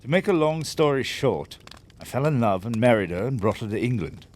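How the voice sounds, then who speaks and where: A man's voice: medium pitch, sing-song, brisk, a little mellow, a little hiss or hum, natural voice. A man playing a proud, hot-tempered wealthy businessman in an audio drama, blustering and formal in manner. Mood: grudging, subdued. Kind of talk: audio theatre acting.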